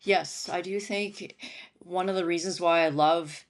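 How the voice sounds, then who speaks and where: A woman who grew up in Syria and Lebanon talks as a guest in a casual interview. Her voice sits fairly low for a woman. She speaks through a home computer mic on a video call and laughs often between her words. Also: fairly even intonation, measured pace. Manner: chatty, laughs often while talking. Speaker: guest, veterinarian and stand-up comic.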